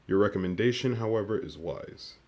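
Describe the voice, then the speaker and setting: An actor playing a cold, controlling leader of a covert team, formal and measured, in an audio drama. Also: male voice, lowish voice, darkish tone, natural voice. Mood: commanding.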